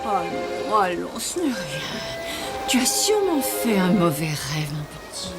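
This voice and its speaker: A woman voicing a kindly old lady in a French cartoon, refined, gentle and doting as she mentors a youngster. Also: on the low side, sing-song, a little slow, over loud music. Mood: soothing. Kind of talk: French-dubbed cartoon acting.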